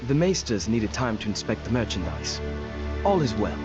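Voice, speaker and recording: An actor playing a composed, diplomatic companion in a fantasy game, measured and placating. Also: male voice, fairly low pitch, very animated. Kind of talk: dramatic game cutscene acting. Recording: slightly dull sound, faint music behind.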